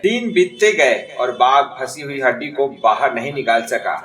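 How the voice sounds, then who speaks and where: A man's voice: medium pitch, lively intonation, moderate pace, a bit bright. Echoey male children's storyteller. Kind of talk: scripted children's story narration. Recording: distant, echoey, music behind.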